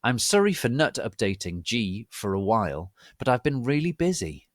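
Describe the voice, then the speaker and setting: A man giving a theatrical, melodramatic dramatic reading of fan fiction as narrator, with a deadpan commitment to the text. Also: medium pitch, moderate pace, dry recording, clean studio sound. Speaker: narrator, reader.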